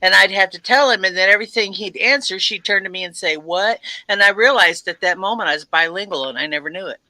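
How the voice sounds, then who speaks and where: A woman's voice: mid-range voice, fast, no echo, poor audio. A woman on a live talk stream, chatting casually and telling a funny family story with laughs. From family from Arkansas. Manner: laughing, lively anecdote telling.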